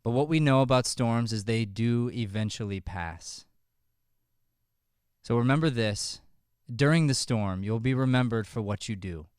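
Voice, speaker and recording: Man, show host, smooth clear voice, earnest halting address, close podcast mic, dry studio. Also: medium pitch, darkish tone, dry recording. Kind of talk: earnest closing address and prayer. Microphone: podcast microphone.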